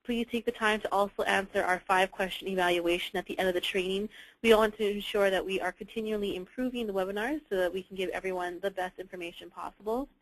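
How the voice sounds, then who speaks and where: A woman hosting a webinar, reading the closing thanks and announcements in a polite, steady way. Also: mid-range voice, quick pace, low-quality recording. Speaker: webinar host.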